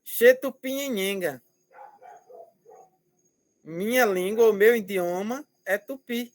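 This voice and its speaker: High-voiced, slow-talking male teacher. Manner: patient, repeats words, keeps checking understanding. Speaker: language teacher. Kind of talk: online class explanation.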